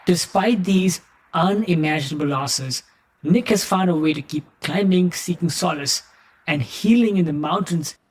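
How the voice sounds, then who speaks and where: A man's voice: high-pitched, measured pace, breathy edge, rough recording. A man hosting a podcast, reading an earnest scripted introduction and then asking his guest questions in an encouraging way. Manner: earnest, encouraging, addresses listeners as friends. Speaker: podcast host.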